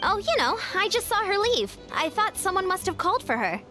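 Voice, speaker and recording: A composed, supportive young tribeswoman from a video game. The voice is high with lively, swinging intonation. She sounds assured and friendly when she explains things. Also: brisk, over loud music. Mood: helpful.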